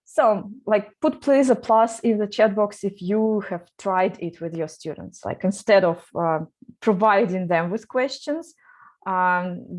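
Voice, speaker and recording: A woman running an online seminar for teachers, talking with many fillers and restarts. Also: medium pitch. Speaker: teacher trainer.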